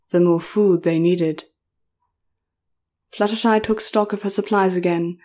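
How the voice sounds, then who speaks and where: Mellow woman narrating a story. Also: mid-range voice.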